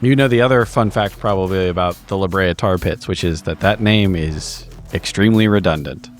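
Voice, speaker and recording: Low-voiced man, wry and wordy storyteller. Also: a little fast. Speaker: player on a tabletop roleplaying podcast giving a recap. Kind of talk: casual banter and narrated session recap. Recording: music behind the voice. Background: music.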